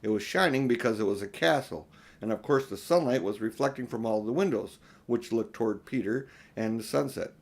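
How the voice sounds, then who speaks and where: A man's voice: medium pitch, a little fast, creaky. An older man narrating a children's storybook as an amateur audiobook reader, in a plain, homely manner.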